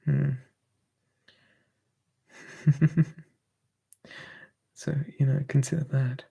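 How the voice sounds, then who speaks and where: ASMR streamer, gravelly mellow whisper, close mic, easygoing chat. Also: mid-range voice, level tone, drawn-out words, muffled recording. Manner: soft, drifting between whisper and quiet voice, musing.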